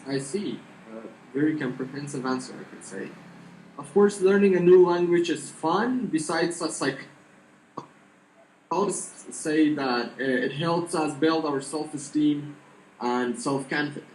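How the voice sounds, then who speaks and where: A man interviewing a guest over a call, speaking haltingly as he searches for words. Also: a little high, a little mellow, roomy sound, slight background noise, low-quality recording. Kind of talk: online interview.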